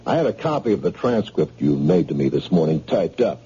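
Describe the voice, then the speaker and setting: Husky low-voiced cop, all business, old radio-play sound. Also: male voice, very animated, warm tone, full character voice. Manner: brisk, businesslike questioning. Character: dogged male police sergeant. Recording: dull old radio recording.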